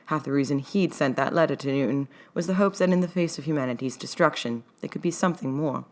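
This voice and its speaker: A woman with a low-pitched voice narrates fiction from a script. She reads as the author of the story, in the manner of an audiobook. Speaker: author reading own fan fiction.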